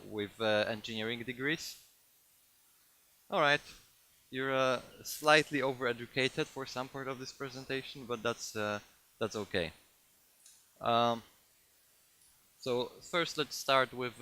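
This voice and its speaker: A man with a Polish accent giving a technical talk to a live audience, halting often with fillers and restarts. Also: medium pitch, pushed and bright, a little hiss or hum. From Poland. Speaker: conference speaker.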